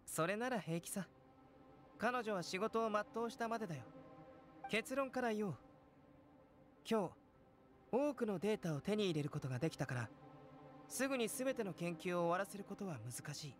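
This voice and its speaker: Composed young male alchemist, lighter high register, measured scholarly delivery for a fantasy game. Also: animated. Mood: calm, explanatory. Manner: measured, composed, philosophical explaining. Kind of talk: Japanese anime game voice acting.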